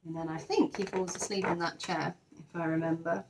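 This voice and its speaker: A woman teacher sharing a children's picture book, expressive and enthusiastic, with chatty asides. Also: lowish voice, fairly quick, background noise. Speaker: teacher reading to pupils. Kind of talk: children's storybook read-aloud with chatty asides.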